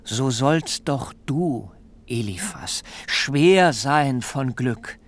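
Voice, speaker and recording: An actor playing a fearful, self-excusing biblical fugitive who pleads in wordy, theatrical rhetoric in a literary radio drama. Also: male voice, mid-range voice, lively intonation, very slow. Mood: solemn pleading. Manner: theatrical, beseeching, rhetorical questions, hushed dips into whisper.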